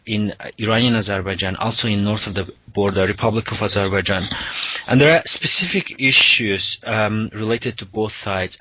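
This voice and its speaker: A man's voice: on the low side, a bit bright. A man hosting a radio interview, speaking hesitantly with many "uh" and "um" fillers and some non-native English grammar.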